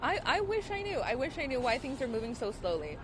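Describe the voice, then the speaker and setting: A grieving woman speaks at an outdoor press conference, her voice sitting somewhat high. She talks haltingly and restarts often. Clear traffic and street noise run behind her. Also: big pitch swings. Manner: halting, emotional, with many restarts. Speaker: sister of the victim.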